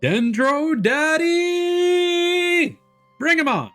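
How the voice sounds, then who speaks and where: A man casually commenting on a game live stream, thinking aloud in a halting way. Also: very high voice, big pitch swings, fairly bright tone, faint music behind.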